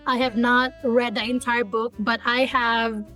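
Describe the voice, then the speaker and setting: A young woman with a Filipino accent hosts a casual podcast interview over a call. Her voice sits fairly high and her talk is friendly and chatty, full of little fillers. Faint music runs in the background. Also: flat delivery, unhurried, bright, forceful tone. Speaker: podcast host.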